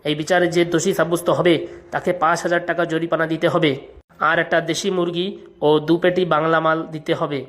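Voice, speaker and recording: Casting: woman doing pompous older cartoon village chief, scolding, lowish female pitch, rapid, rural Bengali accent. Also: flat delivery, close to an everyday voice. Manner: self-important, scolding. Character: bossy, corrupt older male village headman. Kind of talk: comic cartoon village court.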